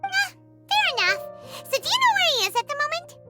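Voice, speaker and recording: A woman voicing a small, candid, caring fairy companion in a video game, with a squeaky childlike voice. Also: big pitch swings, a little fast, bright, forceful tone, faint music behind, heavily acted. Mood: curious, surprised.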